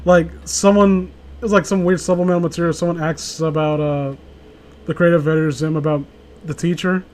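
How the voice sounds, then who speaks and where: Guy with a highish voice reading out a story, sarcastic, swears, keeps butting in with jokey comments. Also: steady tone, fairly quick, a little mellow. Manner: sarcastic, profane, interrupts own reading with asides. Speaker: host reading a horror story aloud with a friend. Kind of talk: casual joking read-aloud commentary.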